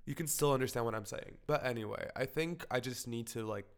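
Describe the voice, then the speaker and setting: A man's voice: mid-range voice, a little fast, clean tone. A young man from Portugal talking frankly in a personal vlog, rambling with lots of fillers and swearing, slightly congested from a cold. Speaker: vlogger. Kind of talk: candid personal vlog monologue.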